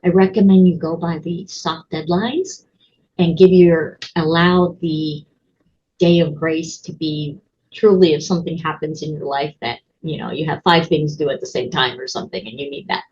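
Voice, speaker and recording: A woman's voice: low voice, fairly even intonation, moderate pace, a little mellow, dull audio, a little hiss or hum. A woman college instructor giving a casual walkthrough of her course, chatty and full of ums and restarts. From Connecticut, USA. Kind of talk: screen-recorded course walkthrough.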